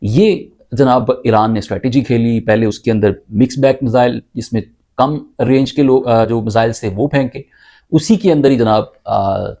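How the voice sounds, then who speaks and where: A man with a soft, mellow voice at a medium pitch gives off-the-cuff news analysis. He explains step by step, haltingly, often doubling back to repeat a word. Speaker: news commentator. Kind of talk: unscripted news analysis commentary.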